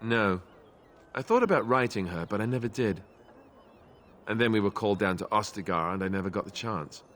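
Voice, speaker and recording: An actor playing an earnest young warden in a video game, speaking in a halting, hesitant way. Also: male voice, lowish voice, animated, a bit bright, slight background noise. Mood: hesitant, wistful. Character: earnest young male warden haunted by family ties. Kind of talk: video game dialogue acting.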